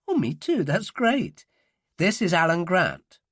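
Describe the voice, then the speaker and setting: A man's voice: high-pitched, sing-song, unhurried, fairly bright tone, slightly rough, no echo, studio quality, voice pushed up, full character voice. A man voicing a friendly, enthusiastic young American woman student. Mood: bright enthusiasm.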